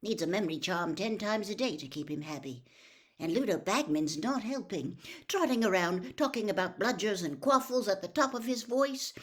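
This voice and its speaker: A woman's voice: mid-range voice, lively intonation, normal speed, natural voice. A woman acts out a weary male wizard official from a storybook. He mutters his complaints in an exasperated, grumbling way, like someone at the end of a very long shift. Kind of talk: storybook character voice.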